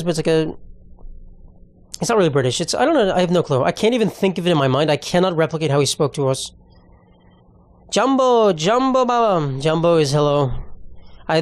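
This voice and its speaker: A man's voice: on the high side, no room sound. A man hosting a podcast alone, rambling and ranting in a casual, profane way. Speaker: podcast host. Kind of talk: casual solo podcast monologue.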